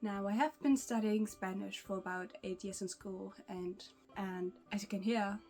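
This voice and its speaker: A woman's voice: mid-range voice, fairly even intonation, moderate pace, darkish tone, faint music behind. Chatty young German woman vlogging. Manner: chatty, with frequent restarts and small laughs. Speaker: language-learning vlogger. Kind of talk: casual vlog talk to camera.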